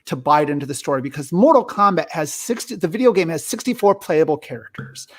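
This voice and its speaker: A man, likely middle-aged, hosting a casual movie discussion, talking haltingly and often rephrasing himself. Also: a little high, animated. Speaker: host of a movie review show.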